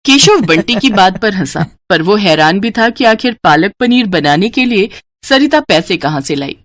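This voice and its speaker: Expressive female Hindi storyteller, lively pitch. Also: normal speed, dry recording. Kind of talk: scripted story narration.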